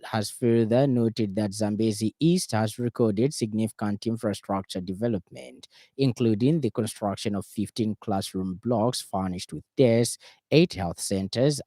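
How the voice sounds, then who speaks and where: A man's voice: on the low side, unhurried, mellow, no echo, studio quality. A man with a Zambian accent reading a formal radio news bulletin as a news reader.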